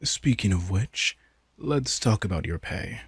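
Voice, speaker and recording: A smug vampire king, played by a man in an intimate audio roleplay. His voice is low and breathy-rough, recorded very dry. He talks in a laid-back, informal way, with wry, teasing manipulation. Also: expressive, measured pace, no room sound, full character voice. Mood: wry, boastful. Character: sardonic, casual young-sounding male vampire king, smug and manipulative.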